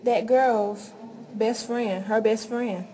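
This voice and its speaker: A woman's voice: a little high, steady tone, slow pace, mellow. A young woman playing a blunt, indignant character in an amateur radio play. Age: young adult. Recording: faintly noisy amateur recording.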